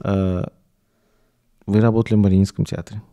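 A man's voice: lowish voice, flat delivery, normal speed, dark tone. A man in his thirties from Azerbaijan hosting a podcast, asking casual questions into a close studio microphone. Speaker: podcast host, interviewer. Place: small furnished podcast studio. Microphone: close studio microphone on a stand.

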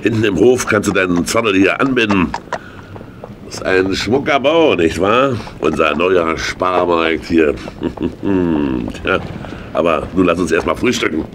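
A man's voice: low voice, animated, a little slow, a bit bright, a little hiss or hum, full character voice. A man playing a jovial, scheming, kindly uncle in a children's radio play, hearty and emphatic. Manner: hearty, chuckling, emphatic with tag questions. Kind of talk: 1980 German children's radio play acting.

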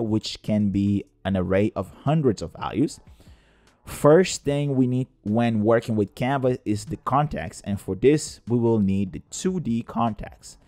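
A man's voice: mid-range voice, a little mellow, dry recording. A man narrating a scripted step-by-step coding tutorial in a methodical, explanatory way. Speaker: tutorial presenter.

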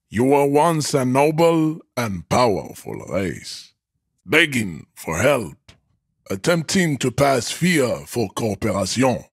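A man's voice: medium pitch, slightly rough, no echo, studio quality, heavily acted. A man playing a towering, pompous, contemptuous racist strongman in a video game, declaiming his pseudo-science grandly. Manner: pompous, slow declamatory delivery. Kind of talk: video game character acting.

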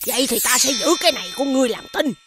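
A woman voicing a fierce, suspicious demon mother in an animated fairy tale, with a brash, demanding manner. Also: high-pitched, very fast. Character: fierce, suspicious demon mother who sings clumsy lullabies. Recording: music behind the voice.

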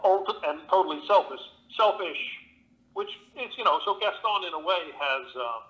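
A man, an actor and audiobook narrator, being interviewed and telling stories in a relaxed way with plenty of fillers and restarts. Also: sits high, normal speed, muffled recording. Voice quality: big voice. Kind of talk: conversational interview.

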